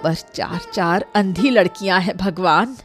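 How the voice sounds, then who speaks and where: A woman's voice: lowish voice, a little slow, a bit bright. A woman voicing a grumbling, weary elderly village mother in a Hindi cartoon moral story. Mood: bitter, complaining. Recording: music bed under the voice.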